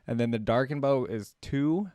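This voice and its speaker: A man's voice: mid-range voice, moderate pace, warm tone, clean tone, no echo. A young man hosting a reaction video, commenting casually and musing aloud as he goes. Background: faint music.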